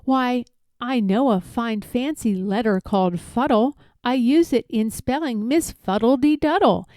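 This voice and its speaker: A woman's voice: a little high, measured pace, warm tone, no echo. A woman reading a rhyming picture book aloud expressively, drawing out key words for emphasis. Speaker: read-aloud storyteller.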